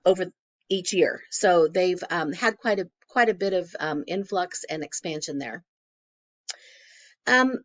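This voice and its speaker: A woman with a crackly, hoarse voice walks through a case study for a class in a loose, conversational way, often pausing on "um". Her pitch sits in the middle range. The recording sounds dull and lo-fi. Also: a little mellow. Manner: conversational, many "um" fillers. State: hoarse from a cold. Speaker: graduate student presenting a case study.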